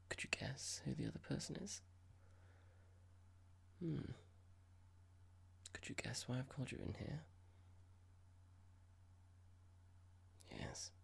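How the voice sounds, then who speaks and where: An ASMR performer playing a cold, condescending boss in a roleplay, speaking softly in a measured, formal, halting and passive-aggressive way. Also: mid-range voice, heavily acted. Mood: cold, probing. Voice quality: breathy, creaky whisper. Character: cold condescending boss about to fire an employee. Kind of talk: ASMR roleplay, whispered and soft-spoken.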